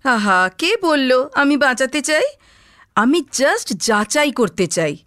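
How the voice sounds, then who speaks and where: An actress playing a composed, inquisitive private detective in a Bengali audio story, with probing, polite questions. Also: female voice, high-pitched, very animated, slow, fairly bright tone, no room sound, clean studio sound, heavily acted. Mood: curious, insistent.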